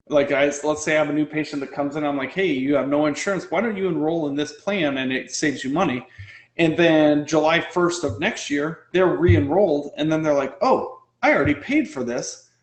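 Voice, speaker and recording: A man explaining his business as an interview guest, animated and persuasive, firing off one rhetorical question after another. His voice sits somewhat high for a man. The recording has a roomy echo. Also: moderate pace. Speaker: guest, company founder and dentist. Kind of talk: casual interview conversation.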